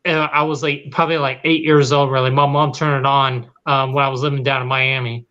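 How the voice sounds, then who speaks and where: A man in his late thirties, a guest in a casual interview, speaking earnestly and haltingly with many fillers. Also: medium pitch, little pitch movement, sharp tone. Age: late 30s. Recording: dull lo-fi audio.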